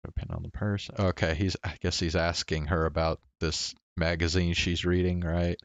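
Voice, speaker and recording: A man's voice: low voice, level tone, normal speed, no room sound, dull audio. A man giving casual game commentary as a streamer, muttering hesitantly to himself.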